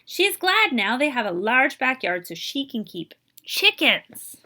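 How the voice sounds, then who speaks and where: Bright, animated woman reading to children. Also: high-pitched, unhurried. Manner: expressive, explains and translates Spanish words for listeners. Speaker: librarian reading aloud. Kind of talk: children's picture book read-aloud with explanations.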